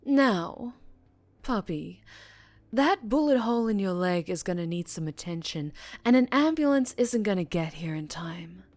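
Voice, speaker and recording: A woman playing a dangerously charming female mafia boss in an ASMR roleplay, teasing and deliberate, often slipping into whispers. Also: mid-range voice, lively intonation, darkish tone, no echo, lightly acted. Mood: calm menace.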